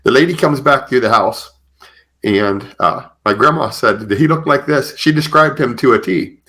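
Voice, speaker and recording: A man hosting a podcast, telling stories conversationally in a halting way with repeated phrases. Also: medium pitch, sharp tone. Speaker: podcast host.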